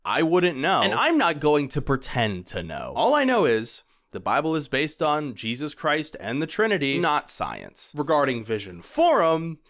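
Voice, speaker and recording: A man's voice: on the high side, wildly swooping pitch, normal speed, few pauses, fairly bright tone. A man narrating a video essay in a casual, conversational way, with informal, wry asides.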